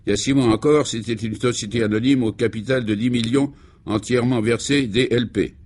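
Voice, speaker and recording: A man's voice: mid-range voice, steady tone, brisk, a little mellow, breathy edge, close to an everyday voice. A man playing a jocular guide who explains absurd matters in a wry, matter-of-fact way in a radio serial. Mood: deadpan.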